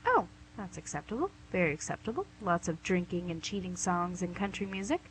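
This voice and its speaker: A woman's voice: fairly low pitch, lively intonation, brisk, close to an everyday voice. An actress playing the Devil as a playful, charming, smug female boss in a comic radio play, chatty and theatrical. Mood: teasing. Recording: noisy amateur recording with hum.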